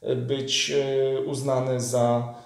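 A man's voice: mid-range voice, flat delivery, very slow, vocal fry. A man in his thirties, a journalist earnestly interviewing a guest, with many fillers and restarts. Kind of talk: political interview. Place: room with leather sofa, indoors. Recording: echoey room.

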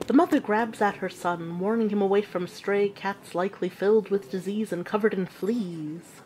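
A woman playing a witch who narrates an audio drama with measured, deliberate storytelling. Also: medium pitch, a little mellow, lightly acted, poor audio.

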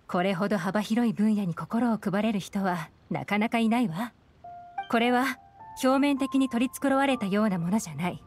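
A woman's voice: medium pitch, darkish tone, natural voice. An actress playing a cool, shrewd young woman investigator in a Japanese game, composed, analytical and direct.

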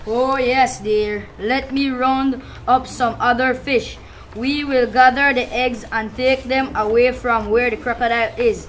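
A woman acts out a wise, kindly gentleman fish in a puppet show. The delivery is drawn out and measured, on the high side, with slight noise in the recording. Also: fairly even intonation, lightly acted. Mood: wise, concerned. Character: kindly, respected male fish, wise helper. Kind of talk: amateur puppet show acting. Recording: noisy live puppet show.

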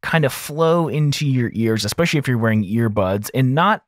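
A man's voice: medium pitch, expressive, normal speed, dry recording, clean studio sound. A man explaining audio mixing in a casual tutorial style, informal and thinking aloud as he goes.

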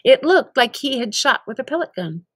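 Children's story reader: older woman, high voice, unhurried, lively intonation, dry close sound. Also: no echo. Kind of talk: read-aloud children's story narration.

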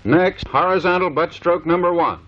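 A man's voice: sits high, moderate pace. A man giving scripted, matter-of-fact instructional narration for a training film. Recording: dull lo-fi 1930s film soundtrack.

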